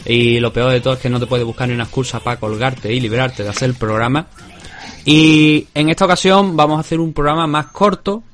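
A man's voice: mid-range voice, brisk, a bit bright, faint music behind. A man with a Spanish accent hosting a podcast, talking casually and rambling with many restarts. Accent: Spanish from Spain. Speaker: podcast host. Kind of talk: casual chat. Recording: remote call.

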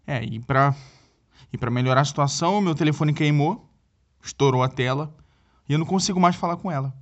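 A man's voice: fairly high pitch, brisk, muffled recording, natural voice. An actor playing a needy, flustered young Brazilian boyfriend in a comedic audio drama, stammering and repeating himself as he pleads for help. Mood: distressed. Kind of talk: comedic audio drama, one side of a phone call.

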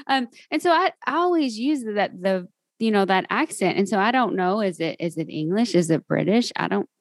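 A woman's voice: mid-range voice, expressive, moderate pace, dry recording. A woman from Kentucky with a Southern US accent, hosting a casual interview and talking in a chatty, giggly way with many fillers. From Kentucky, USA. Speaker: podcast host.

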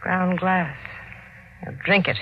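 Low-voiced, cold scheming wife. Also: female voice, fairly even intonation, takes it slowly. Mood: cold, plotting. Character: cold, scheming murderous wife, seductively persuasive. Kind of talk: 1940s radio mystery acting. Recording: muffled old radio recording, faint noise.

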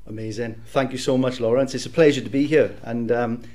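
A man in his thirties from South Wales with a Welsh accent, a podcast guest reflecting on his career in a warm, easygoing way with easy laughs. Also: medium pitch, fairly even intonation, fairly quick. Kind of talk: casual interview chat.

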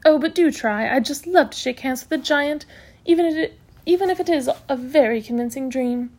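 A woman voicing a brisk, bossy, self-assured hospital matron in a storybook, scolding cheerfully in emphatic exclamations. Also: high-pitched, a little mellow, pitched above the performer's own voice, lightly acted. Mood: amused.

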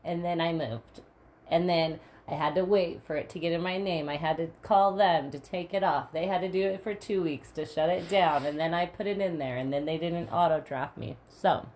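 Lower-voiced woman, rambling podcast co-host. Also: moderate pace, a little mellow, a little hiss or hum. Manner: laughs often, rambling and self-deprecating. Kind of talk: casual podcast chat.

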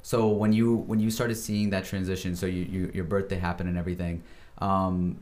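A man interviewing a guest in a relaxed, curious way. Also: low-pitched, normal speed. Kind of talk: casual interview conversation.

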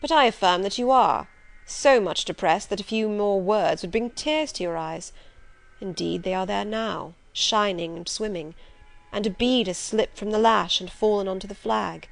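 A woman voicing a brusque, probing gentleman who is master of the house, speaking insistently. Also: mid-range voice, gravelly, natural voice. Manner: insistent, domineering.

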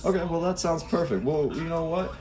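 A man's voice: fairly high pitch, fairly quick, darkish tone, soft background music. A young man co-hosting a podcast, chatting loosely and joking around in playful banter. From Ohio. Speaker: podcast co-host. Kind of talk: casual chat.

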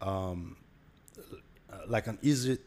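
A man's voice: fairly low pitch, slow pace, darkish tone. A young man with a Haitian accent, talking casually as a podcast guest, with many fillers and restarts. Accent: Haitian Creole. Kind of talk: casual conversation.

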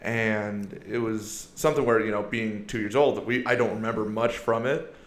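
A man's voice: fairly low pitch, steady tone, normal speed, echoey room. A young man in his twenties, a podcast guest, telling stories in casual chat with lots of energy, laughing often and firing off rhetorical questions. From born in South Korea, raised in Iowa and Asia.

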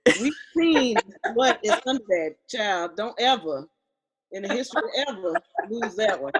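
A woman co-hosts a relaxed conversation, her voice at an everyday middle pitch. She talks freely and playfully. She teases her partner with an easy looseness. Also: normal speed, rough recording. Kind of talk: casual conversation.

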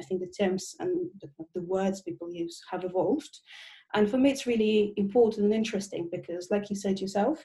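Articulate woman from Russia, mellow tone. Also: mid-range voice, poor audio. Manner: fluent and articulate, with frequent "um" fillers. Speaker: guest, procurement and sustainability consultant. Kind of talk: podcast interview answers.

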